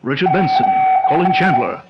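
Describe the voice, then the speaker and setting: An actor playing a steady, commanding male adventurer leading an expedition, in a measured 1940s radio drama style. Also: on the high side, lightly acted. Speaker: expedition leader. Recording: dull lo-fi old radio sound.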